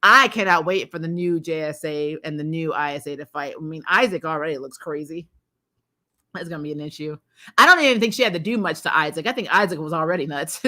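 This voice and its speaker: A woman hosting a casual review livestream, chatting to viewers in an animated, opinionated and emphatic way. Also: mid-range voice. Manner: animated, opinionated, quick to laugh. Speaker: host of a TV show review livestream. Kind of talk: casual livestream chat, reacting to episodes and reading viewer comments.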